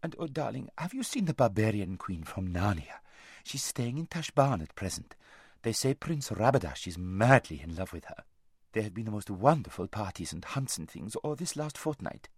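A man's voice: on the low side, very animated, normal speed, husky, no room sound, studio quality, full character voice. A man voicing a vain, careless young noblewoman in a languid, gushing, affected society drawl for a storybook. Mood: breezy, self-absorbed. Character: vain, lazy-voiced, giggly young noblewoman, loud and careless. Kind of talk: audiobook character voice.

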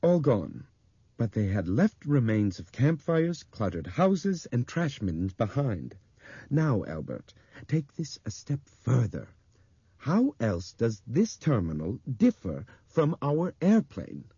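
A man voicing an excitable older intellectual who reasons aloud in an emphatic, lecturing manner for an audiobook. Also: medium pitch, slow, slightly rough, dry recording, lo-fi sound, pitched above the performer's own voice, full character voice. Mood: feverish excitement.

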